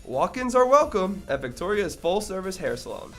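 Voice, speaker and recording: A man's voice: high-pitched, big pitch swings, normal speed, fairly bright tone, soft background music. A man delivering a promotional radio advertisement. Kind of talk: radio ad read.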